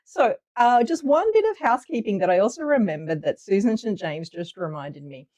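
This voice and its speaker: A woman's voice: mid-range voice, lively intonation, fairly quick, a little mellow. A woman hosting a casual livestream, chatty and upbeat while getting over a cold. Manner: chatty and upbeat, reading viewer names aloud. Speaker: livestream host. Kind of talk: casual livestream chat greeting viewers.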